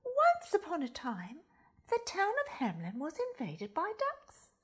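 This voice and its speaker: A woman reading a children's story aloud as a school storyteller, expressive and deliberate, speaking directly to young listeners. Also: very high-pitched. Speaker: storytime reader at a primary school.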